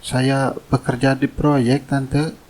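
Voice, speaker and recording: A man's voice: mid-range voice, flat delivery, takes it slowly, darkish tone, close to an everyday voice. A man playing a humble, self-effacing poor young labourer in a cartoon drama, polite and subdued.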